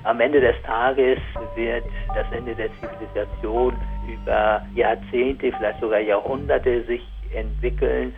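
A grave, matter-of-fact scientist voiced by a man gives an expert radio statement. His mid-pitched voice is creaky with fry, narrowed to a phone-like band, with music underneath. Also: steady tone, a little slow, hardly any pauses, natural voice. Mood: sober warning.

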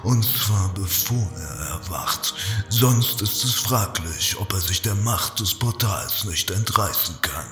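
A man portrays a grave, weary old sorcerer in a fantasy audio drama. His deep, breathy voice echoes with long hall reverb over music. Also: expressive, slow pace, a bit bright, heavily acted. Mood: grave, urgent. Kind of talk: fan audio drama acting.

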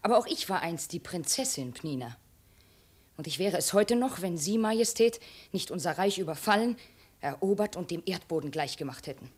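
Proud slave girl, ex princess, lowish voice, composed and pointed, old radio drama. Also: expressive, normal speed, a little mellow, slightly rough. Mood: dignified reproach. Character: dignified, reproachful slave woman, once a princess. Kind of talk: 1950s German radio play acting.

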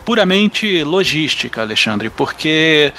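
A man's voice: on the high side, big pitch swings, measured pace, sharp tone, slight background noise. A Brazilian man chatting casually and with slang on a podcast. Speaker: podcast member and audio drama creator.

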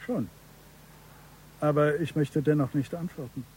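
An actor playing a formal, guarded general who answers police questions evasively in a radio crime drama. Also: male voice, a little high, measured pace, a little mellow, slight background noise, lightly acted, low-quality recording.

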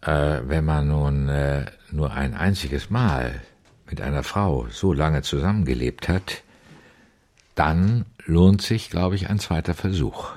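A man's voice: rumbling low, a little mellow, full character voice. An actor playing an earnest older man at a speed date, speaking hesitantly and formally in an improvised radio drama. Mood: earnest, resigned. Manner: deliberate, hesitant, formal wording. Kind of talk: improvised audio drama dialogue.

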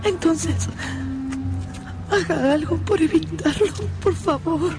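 An actress playing a seductive, scheming and vengeful noblewoman in a theatrical, drawn-out radio drama style. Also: extremely high pitch, music in the background. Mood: pleading. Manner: theatrical, drawn-out, mocking and pleading.

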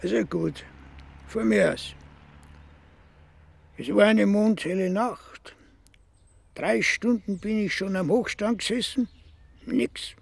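Very creaky, high-voiced old Austrian villager. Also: male voice, takes it slowly, pauses often, dry recording, exaggerated voice. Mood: resigned, storytelling. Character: grumbling old Austrian village storyteller, a hunter. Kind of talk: radio play acting in dialect.

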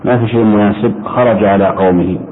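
A low-pitched man leads a grammar lesson in a didactic way, restating rules and quizzing his students. The sound is narrow and phone-like, with steady noise behind him. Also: quick pace. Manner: didactic, repeats points and quizzes. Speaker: religious scholar teaching Arabic grammar to students. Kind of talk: interactive classroom lesson, questioning students.

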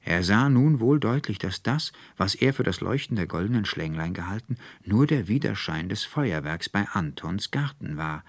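A man's voice: lowish voice, expressive, normal speed, hardly any pauses, dry recording. A man reading a literary tale as an audiobook narrator, expressively and from a script.

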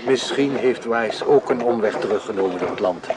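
A man's voice: fairly low pitch, moderate pace, a crowd in the background, natural voice. A man playing a sober, concerned husband in a wartime radio play, speaking with restraint. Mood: grave. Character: sober, concerned husband helping at a field hospital. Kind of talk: radio drama acting.

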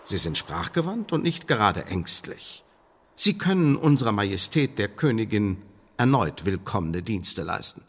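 An actor in a German radio drama playing a smooth, menacing Elizabethan English secret service chief, speaking in pompous, formal officialese with veiled threats. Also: male voice, fairly low pitch, sing-song, normal speed. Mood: officious, persuasive. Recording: studio radio play with long reverb.

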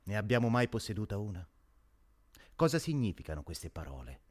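An actor delivering a solemn radio theatre monologue as a grave, indignant moral orator. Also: male voice, on the low side, sing-song, brisk.

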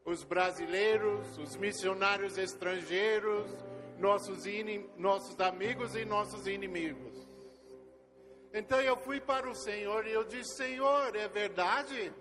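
Older man, non-native speaker, missionary testimony, unhurried storytelling, faint music behind. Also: high voice. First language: not Portuguese. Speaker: missionary leader. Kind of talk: testimony sermon to a congregation. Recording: long hall reverb with faint music.

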